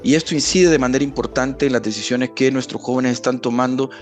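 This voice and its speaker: This man speaks in a medium register as an interviewed expert. He is formal and articulate, piling items into emphatic lists. A soft music bed sits behind him. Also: medium pitch, steady tone, quick pace. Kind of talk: formal analytical interview commentary.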